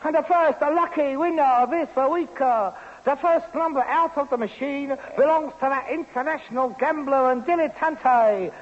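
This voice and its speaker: An actor playing a pompous, self-pitying grown son with a London accent and mock-grand airs, declaiming melodramatically in an old British radio sitcom. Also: male voice, extremely high pitch, moderate pace, few pauses. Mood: mocking, theatrical. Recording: muffled old radio recording, hiss, long reverb.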